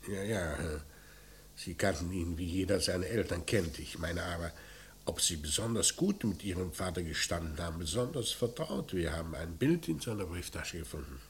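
An actor playing a probing, dry police commissioner in a crime radio play, with a measured way of questioning. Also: male voice, low-pitched, animated, slight background noise. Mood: gently probing. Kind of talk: German radio drama acting.

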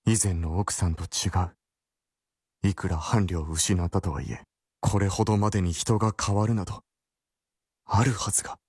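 A man's voice: deep voice, fairly even intonation, moderate pace, long pauses, darkish tone, husky. A man playing an earnest, straitlaced detective novelist in a period radio drama, polite but easily flustered and incredulous. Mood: incredulous, agitated.

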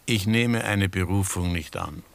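An actor playing a proud, principled doctor in a German radio drama, speaking with formal, dry politeness. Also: male voice, low-pitched, unhurried, gravelly.

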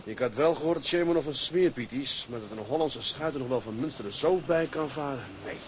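Actor as dry young ship's mate, noisy backdrop. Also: male voice, mid-range voice, fairly quick, lightly acted. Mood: sceptical, resigned. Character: young Dutch tugboat mate, sober, dryly sceptical newlywed. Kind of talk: radio drama acting.

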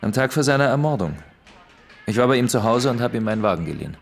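A man's voice: mid-range voice, sing-song, fast talker, creaky, soft background music. An actor playing an evasive, smug ex-convict in a German radio crime drama, laconic and insolent as he stonewalls. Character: evasive, smug male ex-convict under questioning.

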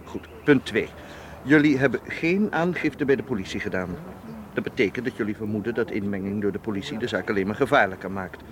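An actor in a radio play playing a calm, methodical man who offers himself as a bodyguard, speaking in a measured, logical way. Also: lowish voice, crowd noise, close to an everyday voice. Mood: assertive, reasoning. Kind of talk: radio drama acting.

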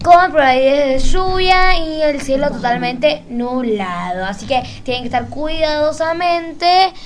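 A woman's voice: high voice, lively intonation, a little slow, a little hiss or hum. A woman with an Argentine accent co-hosting a radio show in an informal, conversational way. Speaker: radio co-host. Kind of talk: casual radio chat, reading weather.

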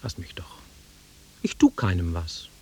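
A brooding, nostalgic country-bred fellow in a radio play. His voice sits fairly low and is rough and husky. He is erratic and taunting, slipping between muttering and outbursts. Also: huge pitch swings, unhurried, warm tone, whispered, full character voice. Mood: subdued, muttering. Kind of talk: German radio drama acting.

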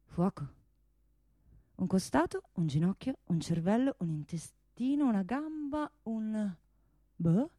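A woman's voice: medium pitch, lively intonation, slow, mellow, no echo, clean studio sound. A woman playing a proud, world-weary talking pistol in a radio theatre monologue, bossy and sardonic in a dramatic storytelling way.

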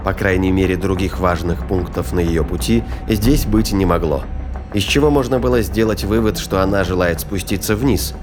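Male narrator for sci-fi thriller audio play, lowish register, scripted storytelling over strong score. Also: moderate pace. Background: dramatic music, battle sound effects.

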